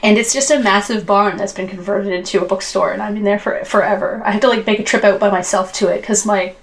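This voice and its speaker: A woman's voice: medium pitch, fairly even intonation, fast. A woman from Canada chatting casually as a podcast co-host. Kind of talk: casual chat.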